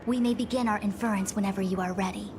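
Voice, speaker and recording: A woman playing a composed, scholarly young master diviner in a video game, speaking formally in measured, articulate phrases. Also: medium pitch, steady tone, background noise, close to an everyday voice. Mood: formal, inquiring. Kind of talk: scripted video game dialogue. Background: background music.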